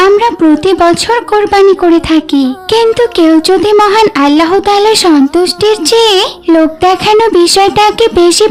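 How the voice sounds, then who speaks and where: A woman performs a principled, pious little girl in a cartoon. The voice is extremely high, with an earnest, preachy way of explaining right and wrong. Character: pious, principled young girl who admonishes friends. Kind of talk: dubbed children's moral cartoon.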